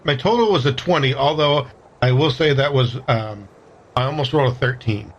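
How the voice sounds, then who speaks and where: A man chats casually as a player in a tabletop role-playing game. His pitch is middling, and the recording is a little dull with faint noise. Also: normal speed.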